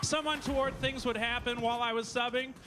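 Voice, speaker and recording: A man doing a stand-up set on a club stage, with a high nasal voice and an awkward deadpan delivery. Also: brisk, background noise. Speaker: stand-up comedian. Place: comedy club stage with live audience.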